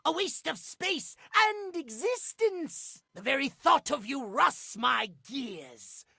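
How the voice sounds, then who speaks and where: A man's voice: very high voice, big pitch swings, slow pace, gravelly, pushed and bright, no echo, studio quality, exaggerated voice. An actor voicing a fussy, prim male clock obsessed with schedules, speaking formally and precisely in a theatrical, old-fashioned way for a video game. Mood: furious, disdainful. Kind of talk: video game character acting.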